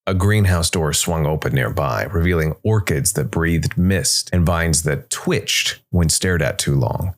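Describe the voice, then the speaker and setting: A man narrating a scripted dark fantasy story in a measured, deliberate way. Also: a bass voice. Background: music.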